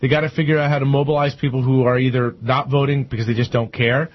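A man's voice: mid-range voice, flat delivery, fast, dull audio. An American man discussing politics on a panel, emphatic and often repeating himself to make a point.

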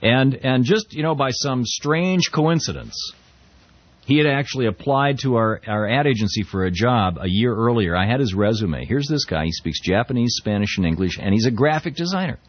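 A man's voice: mid-range voice, expressive, normal speed, dry recording, dull audio. A middle-aged man from the United States hosting a talk show, giving a conversational political commentary with frequent restarts and quoted readings. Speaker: talk radio host.

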